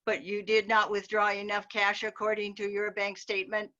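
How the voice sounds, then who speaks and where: A prying pizza clerk who knows far too much, read by a woman in a crisp, bright, tense voice. She is polite and coolly formal. The sound is dull and lo-fi, as from a video call. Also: mid-range voice, flat delivery, moderate pace, close to an everyday voice. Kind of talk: amateur readers theater over video call.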